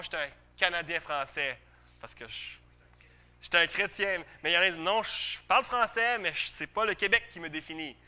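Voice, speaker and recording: A man delivers a sermon in a Quebec French accent. His voice sits a little high and has a very bright, forceful edge. He talks to the congregation conversationally, stressing key phrases through repetition and rhetorical questions. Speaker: preacher.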